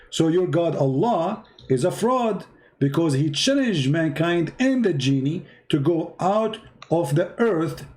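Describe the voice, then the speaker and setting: A man's voice: fairly high pitch, darkish tone. A man whose first language is Arabic, hosting a heated religious debate, mocking and insulting the other side and hammering the same demands again and again. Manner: hammers the same question over and over. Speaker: debate host.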